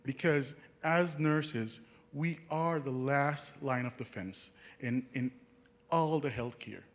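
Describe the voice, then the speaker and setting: A young Jamaican man, a nursing student, telling an audience about his path in an earnest, halting way, with many restarts. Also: mid-range voice. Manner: earnest, halting, frequent restarts, chuckles at his own stories. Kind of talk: personal story talk to an audience.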